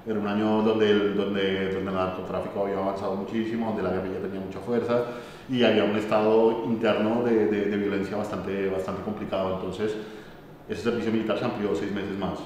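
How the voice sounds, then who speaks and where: A man's voice: fairly low pitch, level tone, brisk, darkish tone, slight background noise. A man in his forties with a Colombian accent from Bogotá, answering interview questions hesitantly and repeating words as he searches for them. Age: 40s. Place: bright empty classroom, indoors. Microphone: far camera mic. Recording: echoey room from far off.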